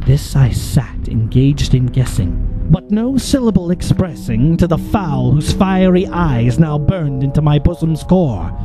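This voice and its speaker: Slow, theatrical male poetry reciter. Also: on the high side, expressive, low-quality recording. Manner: theatrical, dropping to hushed whispers. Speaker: narrator performing a poem. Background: music.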